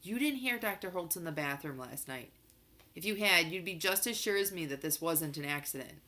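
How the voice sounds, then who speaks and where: A woman's voice: fairly low pitch, animated, fairly quick, sharp tone. A woman voicing a precocious, stubborn young boy in a storybook, arguing insistently. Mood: heated. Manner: insistent, argumentative. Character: young boy Dashiell, precocious, stubborn moon-base kid.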